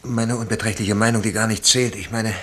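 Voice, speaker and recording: An actor in a German radio drama playing a cautious master cook, humble, evasive and ceremoniously polite. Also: male voice, fairly low pitch, steady tone, fairly quick, a bit bright. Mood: deferential, wary. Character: obsequious, cautious master cook at a royal capital.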